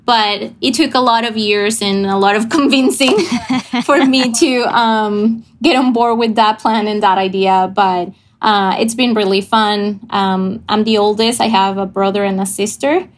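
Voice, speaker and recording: A woman from Venezuela, a missions pastor chatting casually over a video call, laughing often and pausing on fillers. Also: mid-range voice, fairly even intonation. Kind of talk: casual interview chat. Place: family home.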